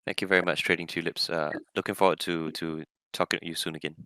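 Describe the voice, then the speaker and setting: A man who is a guest from a crypto team, talking fast and emphatically in a casual online chat. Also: sits low, little pitch movement, sharp tone.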